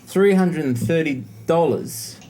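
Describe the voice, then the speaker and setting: A man's voice: a little high, expressive, takes it slowly, warm tone. A man co-hosting a tech show, bantering casually about the news in a jokey, slangy way. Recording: clearly noisy background.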